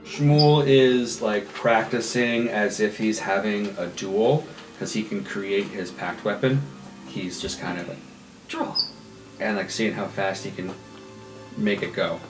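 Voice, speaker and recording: A man casually improvises as a tabletop game player. His voice is on the low side and echoes in the room, with music behind it. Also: slow.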